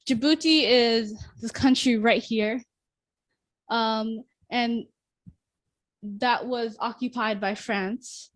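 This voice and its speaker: A woman's voice: on the high side, slow pace, long pauses, no echo. A young woman giving a class presentation and answering questions, talking with many restarts and fillers. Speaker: student presenter.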